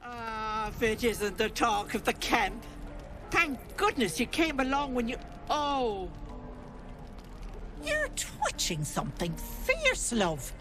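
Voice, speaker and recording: Lilting, doting old auntie. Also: female voice, fairly high pitch, huge pitch swings, takes it slowly, over music, exaggerated voice. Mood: fussing concern. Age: older. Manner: folksy, coddling, doting endearments. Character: kindly fussing old village healer auntie.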